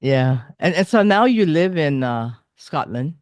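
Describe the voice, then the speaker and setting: A man hosting a casual music interview, forming his questions haltingly and repeating phrases. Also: on the high side, animated, dry recording, studio quality. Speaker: interviewer, music show host.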